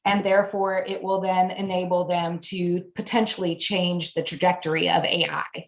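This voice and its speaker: An earnest woman in her late forties, German her first language, explaining her aims in steady, repeating phrases and laughing easily between answers. She sits in an echoey room, and the video-call sound is somewhat dull and lo-fi. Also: fairly low pitch, moderate pace. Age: 40s. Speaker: guest, AI education business founder. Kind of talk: interview conversation.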